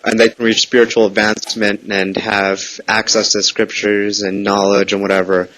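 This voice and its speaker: A man with a fairly low voice speaks as a caller on the phone. He asks questions in a casual, meandering way, hesitating often while still pressing his point. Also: completely flat delivery, moderate pace, a bit bright, rough recording. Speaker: prank caller. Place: phone call.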